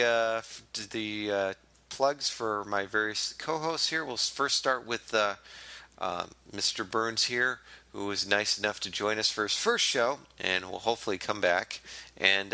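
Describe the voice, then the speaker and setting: A young adult man hosting a podcast, hoarse and raspy, talking in a casual, rambling, self-mocking way. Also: on the low side, sharp tone, no room sound. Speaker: podcast host.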